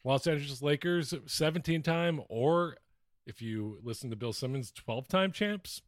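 A man's voice: medium pitch, moderate pace, no echo. Male podcast host, middle register, dry recording, crude jokey sports banter. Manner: joking, crude.

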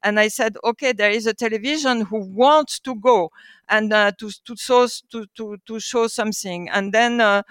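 A woman's voice: a little high, moderate pace, a bit bright, dry recording. A middle-aged French woman with a French accent telling stories in an interview, searching for words and repeating phrases as she goes. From France. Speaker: guest, publishing house co-founder. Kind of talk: conversational storytelling.

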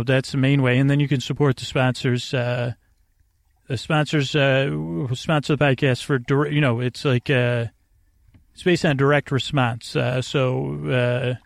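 A man hosting a bedtime podcast in a creaky, lulling voice, rambling and stammering as he wanders through halting, self-interrupted sentences. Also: mid-range voice. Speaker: podcast host.

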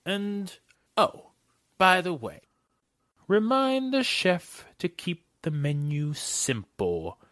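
A man acting a wealthy, imperious and fussy gentleman in a radio drama, with a pompous, haughty delivery. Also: sits high, big pitch swings, slow, no room sound, studio quality, heavily acted. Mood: smug, fussy. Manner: pompous, haughty, wheedling menace. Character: wealthy, imperious, fussy club owner demanding special treatment.

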